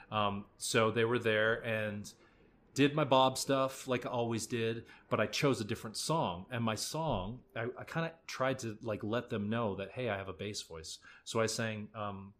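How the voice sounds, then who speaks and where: Young male stage actor, relaxed and self-mocking storyteller, lowish pitch, bright tone. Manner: laid-back, self-deprecating, chatty. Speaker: musical theatre actor, guest. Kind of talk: casual storytelling interview.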